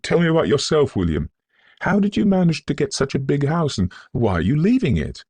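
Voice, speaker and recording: A man voicing a proud, emotional young Victorian gentleman in an audiobook, genteel and impulsive. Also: fairly high pitch, huge pitch swings, brisk, husky, no room sound, pitched above the performer's own voice, full character voice. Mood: friendly, curious.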